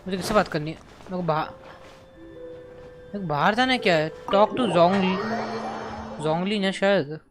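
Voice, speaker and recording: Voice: medium pitch, rapid-fire, pauses often, music in the background. A game streamer with an Indian accent giving casual live commentary, muttering questions to themself and mixing Hindi with English.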